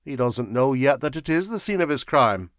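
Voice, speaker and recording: A man's voice: medium pitch, expressive, moderate pace, no echo, clean studio sound, natural voice. A man voicing a mild, nonchalant private secretary in a storybook, with a vague, leisurely, drowsy drawl. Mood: calm.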